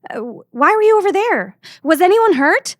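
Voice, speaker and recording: A woman's voice: extremely high pitch, big pitch swings, moderate pace, dry recording, clean studio sound. A woman playing an earnest, pushy teenage student journalist in an audio drama, with an insistent, sassy manner. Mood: concerned. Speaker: voice actor.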